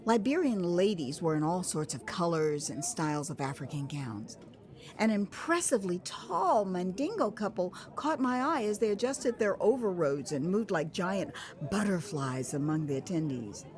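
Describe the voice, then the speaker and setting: An American woman narrating her own story as a dramatized audiobook, expressive and theatrical, with conversational asides. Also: lowish voice, normal speed. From United States. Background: music.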